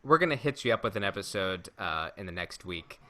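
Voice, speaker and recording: Male podcast host, low pitch, bright forceful tone, halting delivery, conversational. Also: animated. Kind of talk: casual chat about Star Wars rumors.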